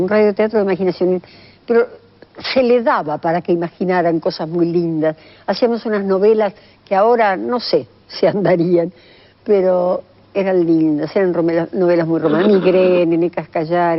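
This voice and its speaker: A woman's voice: medium pitch, lo-fi sound. An older woman with an Argentine accent reminiscing fondly in an interview, repeating phrases for emphasis. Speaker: interviewed former radio drama performer.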